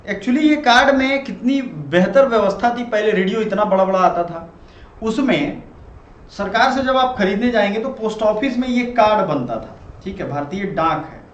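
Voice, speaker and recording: A man's voice: high voice, lively intonation, normal speed. A man giving a conversational current-affairs lecture as a teacher, mixing in English and checking in with listeners. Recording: echoey room, muffled, loud background noise.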